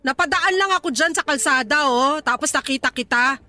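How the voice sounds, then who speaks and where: A woman's voice: high-pitched, a little fast, soft background music. A nagging, bitter mother. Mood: scolding. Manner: sharp, reproachful, nagging. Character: scolding poor mother, middle-aged, bitter and nagging. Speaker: actor. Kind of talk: radio drama acting.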